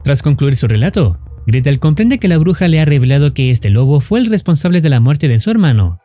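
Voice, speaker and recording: A man narrates a scripted horror story recap. His pitch moves up and down in a lively way, and his tone is bright but controlled. Faint music plays underneath. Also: a little fast, dry recording.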